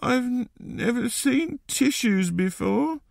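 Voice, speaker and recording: A man's voice: extremely high pitch, lively intonation, creaky, no room sound, clean studio sound, pitched above the performer's own voice, exaggerated voice. A man voicing an upset, frightened little boy in a storybook, speaking plaintively. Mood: tearful, scared. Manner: slow, plaintive delivery.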